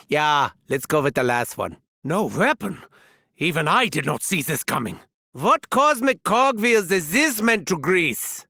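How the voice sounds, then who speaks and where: Unhinged German scientist, arrogant, theatrical, sinister, piercing bright tone, very dry close sound, game character. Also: male voice, sits high, creaky, no room sound, heavily acted. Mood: excited. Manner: pompous, theatrical, gleefully sinister. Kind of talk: video game character voice lines.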